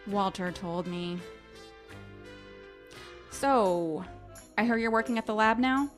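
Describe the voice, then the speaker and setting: A woman's voice: mid-range voice, slow pace, soft background music, natural voice. Woman reading as an awkward earnest nerdy man. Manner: stilted, awkward, over-literal. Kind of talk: amateur dramatic reading of a novel.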